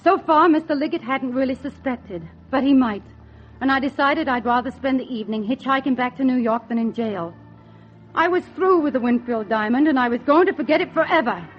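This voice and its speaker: An actress as high-voiced scheming secretary, vintage radio. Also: female voice, brisk, faint music behind, natural voice. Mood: resigned. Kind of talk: 1940s radio acting, first-person crime narration. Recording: dull vintage 1940s radio recording.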